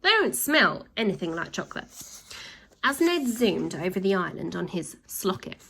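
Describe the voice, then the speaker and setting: A woman narrating a children's book aloud in an animated storytelling style. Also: medium pitch, moderate pace, creaky, a little hiss or hum, poor audio.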